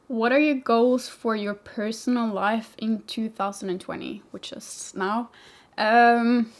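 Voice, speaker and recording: A young woman from Sweden with a mixed Swedish-English accent, answering viewer questions in a rambling, chatty vlog. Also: on the high side. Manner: chatty, rambling, many "like" fillers, laughs easily. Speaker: vlogger, motorcycle rider. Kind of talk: casual Q&A chat.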